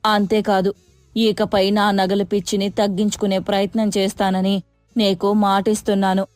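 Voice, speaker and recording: A woman's voice: medium pitch, little pitch movement, moderate pace, a little mellow, no room sound, soft background music, pitched below the performer's own voice, lightly acted. A woman voicing a repentant middle-aged mother-in-law, conceding in a subdued manner, in a moral story.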